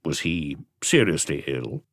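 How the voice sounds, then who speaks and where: A man voicing a calm police chief who asks short, probing questions in an audiobook mystery. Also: very deep voice, unhurried, no echo, studio quality, pitched below the performer's own voice, heavily acted. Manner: terse, inquisitive.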